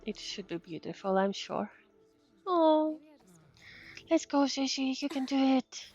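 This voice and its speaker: A woman's voice: sits high, very animated, measured pace, darkish tone, lo-fi sound, soft background music. A woman streaming a video game with casual live reactions, dropping sympathetic interjections and repeating her phrases.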